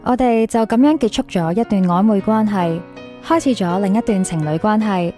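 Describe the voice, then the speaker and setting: A woman's voice: medium pitch, moderate pace, warm tone, natural voice. A woman playing an insecure, lovelorn young woman in a radio drama, plaintive and questioning, with a Hong Kong Cantonese accent. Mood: wistful. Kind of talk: radio drama acting with confessional narration. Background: music under the voice.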